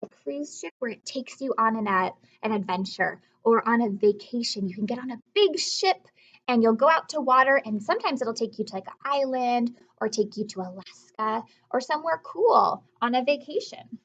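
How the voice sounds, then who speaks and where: A woman's voice: fairly high pitch, expressive, normal speed, a bit bright, lo-fi sound. A woman teacher reading aloud to young children, explaining as she goes, asking questions and repeating key words in an instructive way.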